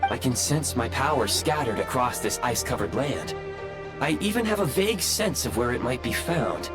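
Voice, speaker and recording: A man's voice: mid-range voice, lively intonation, lightly acted. A man voicing an earnest, formal, newly awakened ancient robot guide in a video game, with precise, dutiful phrasing. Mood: earnest, resolute. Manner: formal, precise phrasing with halting self-corrections. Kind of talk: scripted game voice acting. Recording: background music under the voice.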